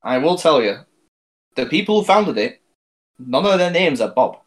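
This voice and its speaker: A man co-hosting a casual podcast chat, prompting the others and quick to laugh. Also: fairly high pitch, very animated, rough recording. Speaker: podcast co-host.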